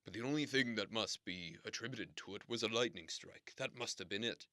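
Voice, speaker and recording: An actor playing a formal, theatrical radio station boss who explains things slowly and swears grand oaths. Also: male voice, lowish voice, bright, forceful tone, no echo. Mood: explaining. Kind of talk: comedic audio drama acting.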